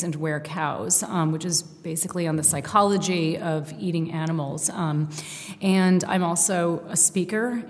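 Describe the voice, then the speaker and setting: A woman, a psychologist and author, answering interview questions in a measured, explanatory way, recorded in a very big, empty room. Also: low voice, big-room sound.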